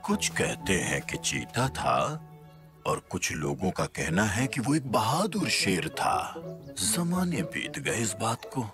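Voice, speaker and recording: A man voicing an old, wise male bear who tells stories slowly and kindly in a dubbed cartoon. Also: on the low side, wildly swooping pitch, music in the background, full character voice. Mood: musing. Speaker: storyteller.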